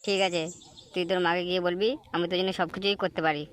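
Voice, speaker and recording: Man as brash, fast-talking young cartoon slacker. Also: sits high, flat delivery, fairly bright tone. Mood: irritated. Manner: brash, crude, slangy. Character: cocky, hot-headed young slacker man, bragging to friends. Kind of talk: amateur comedy cartoon dub.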